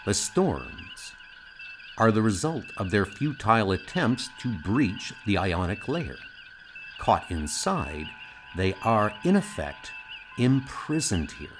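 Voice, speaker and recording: Measured, deadpan alien science officer, played by a man. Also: fairly low pitch, over music. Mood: calm, clinical. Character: calm, precise, logical male alien science officer. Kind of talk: amateur comic-book audio dramatization.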